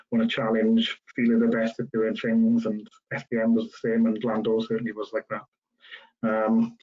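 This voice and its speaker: Flat-toned South Welsh man on a video call. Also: mid-range voice, dull audio. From South Wales. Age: 30s. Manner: relaxed, conversational, frequent ums. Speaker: railway professional giving a careers talk. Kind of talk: casual career talk.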